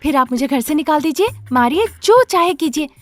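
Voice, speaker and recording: High-pitched, excitable scheming young daughter-in-law. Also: female voice, fairly quick. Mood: pleading. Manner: whiny, exclaiming, wheedling.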